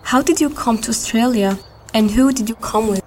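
A woman's voice: fairly high pitch, moderate pace, a little hiss or hum. Friendly teenage girl asking questions. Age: 10s. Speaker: interviewer.

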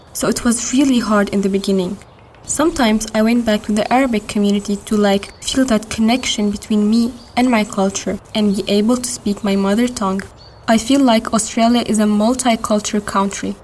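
A woman's voice: medium pitch, darkish tone, a little hiss or hum. An eighteen-year-old woman leading a conversation, speaking in a friendly, careful way. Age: 10s. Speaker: interviewer.

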